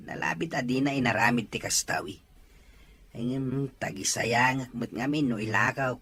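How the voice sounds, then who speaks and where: A man's voice: medium pitch, a bit bright, lightly acted. A man with an Ilocano accent playing a patient, fair-minded farmer father in an audio drama. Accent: Ilocano, from the Philippines.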